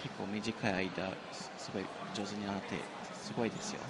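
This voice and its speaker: Rapid-fire male interpreter at a noisy event. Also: lowish voice, level tone. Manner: quick, brisk relaying of questions. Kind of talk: live interpreting on stage. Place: event stage.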